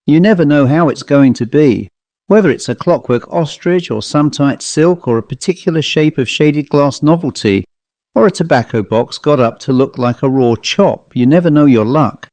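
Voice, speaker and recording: A man's voice: a little high, normal speed, darkish tone, no room sound, natural voice. A man plays a lean old commercial traveller from a storybook. He muses and rambles in a chatty, resigned manner, in a dry recording. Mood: musing.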